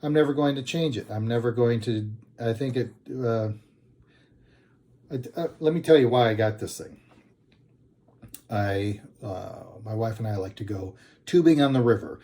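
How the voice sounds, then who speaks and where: A man's voice: medium pitch, normal speed, long pauses, dark tone. A man giving a casual, unscripted product review in a plain-spoken, hesitant and rambling way.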